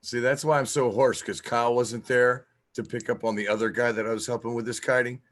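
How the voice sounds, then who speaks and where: A hoarse man co-hosting a live-streamed show, chatty and quick to laugh. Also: medium pitch, steady tone, a bit bright, dry recording.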